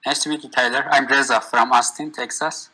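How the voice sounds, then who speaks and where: A man from Iran, a software engineer talking casually on a video call, friendly and pausing as he lists things. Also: medium pitch, steady tone, over loud music, low-quality recording. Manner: friendly, lists tech with short pauses. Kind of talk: casual networking chat.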